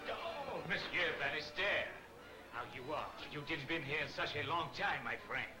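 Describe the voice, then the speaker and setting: An actor as a sly French club owner, old film. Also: male voice, a little high, animated, normal speed, lightly acted. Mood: feigned innocence. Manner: smooth, mock-innocent, broken English. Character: sly, genial French underworld club owner, oily and courteous. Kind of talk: 1940s movie serial acting. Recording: old 1940s film soundtrack, music behind.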